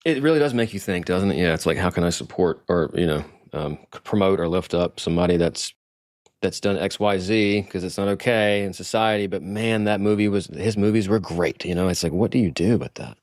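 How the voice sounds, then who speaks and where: Deep-voiced chatty male host. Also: a little fast, a little mellow, no echo, studio quality. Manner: chatty, rambling, enthusiastic. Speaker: podcast host. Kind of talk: casual interview chat.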